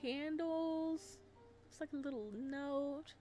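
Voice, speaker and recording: A woman with a fairly high voice plays through a visual novel as a gaming host. She reads the narration aloud and drops in informal, slangy reactions, with game music behind her. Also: fairly even intonation, takes it slowly, dark tone. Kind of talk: visual novel let's play, reading aloud with casual reactions.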